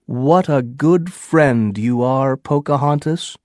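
A man's voice: mid-range voice, slow, a little mellow, no echo, clean studio sound, lightly acted. A man doing a firm colonial captain. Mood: warm. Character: bold male colonial captain, steady and commanding.

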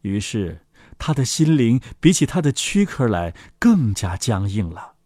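Husky, very animated male storyteller. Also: mid-range voice, unhurried, no echo, clean studio sound. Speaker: narrator. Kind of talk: expressive scripted fairy tale narration.

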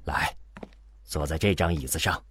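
A man's voice: rumbling low, normal speed, very noisy. An actor playing a curt middle-aged male prison medical staffer in a radio drama.

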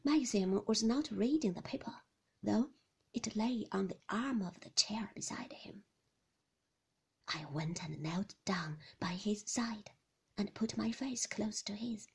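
A woman reads a novel aloud in a hushed, intimate whisper. Her voice is very breathy with a gravelly fry, and she takes her time over every phrase. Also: mid-range voice, takes it slowly. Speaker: narrator. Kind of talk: whispered ASMR audiobook reading.

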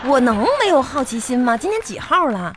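A woman's voice: high-pitched, sing-song, fairly quick. A woman playing a bossy, nagging wife in a live radio comedy skit, blunt and insistent. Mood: probing. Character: bossy, nagging wife demanding her husband's wages. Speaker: radio comedy host and performer.

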